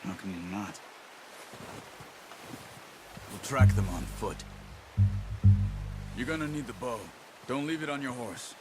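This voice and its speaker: An actor playing a calm, patient hunter in a western game, giving terse instructions to a companion. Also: male voice, medium pitch, moderate pace, lightly acted. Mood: hushed, focused. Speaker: video game character. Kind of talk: naturalistic western game drama acting. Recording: music behind the voice.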